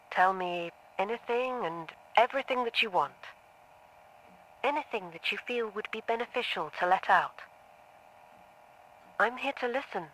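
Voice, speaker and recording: A woman playing a patient, composed therapist with a measured, reassuring manner in an audio drama. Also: mid-range voice, fairly bright tone, slight background noise, poor audio. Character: composed, patient female therapist, quietly probing.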